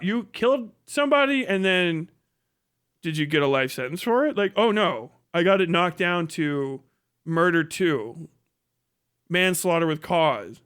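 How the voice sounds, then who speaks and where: A man's voice: high-pitched, fairly bright tone, clean tone. A man giving casual livestream commentary in a blunt, profane, rambling way. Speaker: livestream host.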